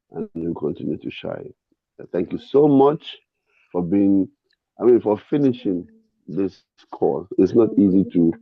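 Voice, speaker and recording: Nigerian man, low slow voice, tinny phone sound, halting but encouraging congrats. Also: dry recording. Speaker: course faculty member. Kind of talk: informal congratulatory remarks. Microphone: online call microphone. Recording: tinny phone-like call audio.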